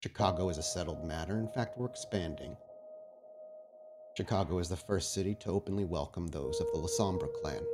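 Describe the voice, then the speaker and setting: A man voicing a patient, menacing vampire prince in a tabletop game, speaking slowly and with measured authority. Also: low-pitched, background noise. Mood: stern, measured. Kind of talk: in-character tabletop roleplay speech. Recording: music behind the voice.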